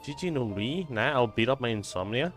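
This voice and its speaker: A man's voice: mid-range voice, animated, fairly quick, a bit bright, faint music behind. A young man who streams games, reacting casually in slangy, sweary talk with stammers. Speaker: game streamer. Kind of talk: casual joking live reaction.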